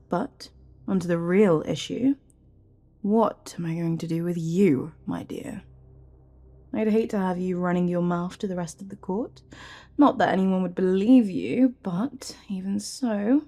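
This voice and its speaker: A woman performs a scheming royal advisor in a fantasy audio roleplay, a smug and menacing sorceress. The voice is dark and velvety with a creaky edge, drawling out mock courtesies slowly and theatrically, breathy and often sinking toward a whisper. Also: medium pitch. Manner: theatrical, mock-courteous, taunting, drawn-out phrasing.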